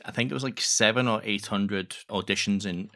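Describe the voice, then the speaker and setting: A man guest in a casual chat, explaining in a halting way and often repeating words as he goes. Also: lowish voice, bright, forceful tone. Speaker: interview guest, audio fiction creator.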